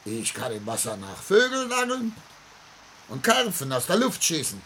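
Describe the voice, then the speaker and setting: An actor playing a distraught, outcast older gentleman in a German radio play, theatrical and bitter, swinging between hushed tones and outbursts. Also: fairly high pitch, huge pitch swings, moderate pace, full character voice. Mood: agitated, bitter. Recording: faint noise on old radio recording.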